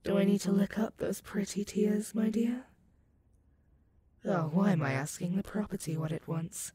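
A woman's voice: medium pitch, very noisy, natural voice. A woman playing an ancient, sadistic and possessive female werewolf demon in a roleplay audio, purring menace and mocking pet names at the listener. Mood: possessive, teasing. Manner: slow, teasing, purring menace with mocking endearments.

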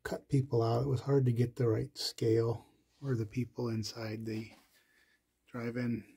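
A man casually narrating a tour of his collection, listing items in a slow, low-key way with pauses and fillers. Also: flat delivery, gravelly, warm tone, slightly rough.

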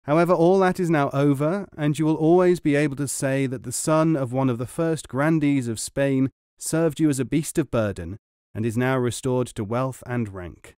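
Man playing a pious, sly young gentleman student. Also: mid-range voice, normal speed, smooth, no room sound, clean studio sound, close to an everyday voice. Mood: impatient. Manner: earnest, pleading, formally polite. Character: sly young nobleman student, pious, earnest, falsely wronged. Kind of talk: storybook character reading.

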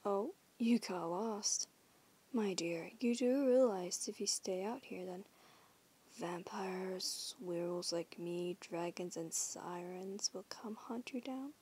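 A woman's voice: mid-range voice, slow pace, warm tone, a little hiss or hum, close to an everyday voice. A woman voicing a teasing young female werewolf in an audio roleplay, whispering huskily and swearing casually. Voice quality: whispery, husky.

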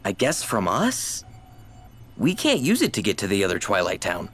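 A man's voice: on the low side, wildly swooping pitch, normal speed, fairly bright tone, slightly rough, heavily acted. A man playing an easygoing, techy teenage boy in a video game. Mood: matter-of-fact.

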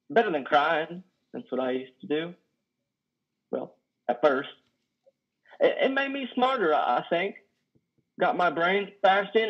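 A man in his thirties playing a simple, wounded, lonely trucker with halting, folksy, ungrammatical speech in a play reading. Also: on the high side. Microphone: headset on a video call. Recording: dull video call audio.

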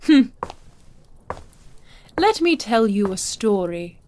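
An actress playing an imperious, cruel older madame in a fantasy audio drama, haughty, silky and condescending. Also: female voice, mid-range voice, big pitch swings, slow, darkish tone. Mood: threatening.

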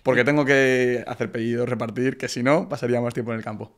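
A young man from Madrid with a Spain Spanish accent, chatting as a podcast guest. He talks into a close studio mic in a relaxed way, with many fillers and restarts. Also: medium pitch, fast talker. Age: young adult. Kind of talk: casual interview chat. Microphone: broadcast mic on arm, close.

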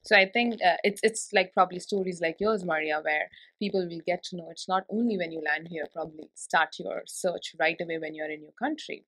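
Woman podcast host; sharp bright tone, talkative, long rambling questions. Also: fairly low pitch, fairly quick, hardly any pauses, rough recording. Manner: chatty, laughs easily, long run-on questions. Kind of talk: casual interview chat.

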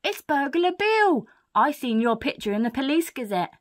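A chatty lady burglar from a children's storybook, put on by a woman reader. Her voice sits high and jumps around with great animation. She speaks emphatically, in rough working-class grammar. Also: very animated, normal speed. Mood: surprised, apologetic.

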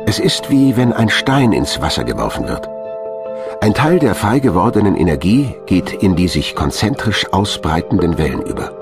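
A man's voice: sits low, big pitch swings, moderate pace, over music. A man reading scripted narration as the narrator of a documentary.

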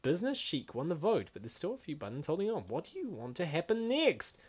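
A man's voice: fairly high pitch, wildly swooping pitch, quick pace, husky. A man hosting a comic dub with casual, slangy, exclamatory reaction commentary. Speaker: host of a comic dub channel.

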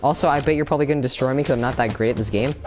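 Young man, casual game commentary, chatty, sudden yelps, faint background music. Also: medium pitch. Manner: chatty, excitable, quick yelps and laughs. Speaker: streamer. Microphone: no camera, desk mic.

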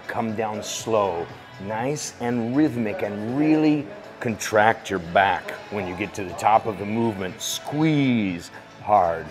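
A man in his forties giving calm, steady step-by-step workout instructions as a voice-over. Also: lowish voice. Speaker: fitness instructor. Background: music.

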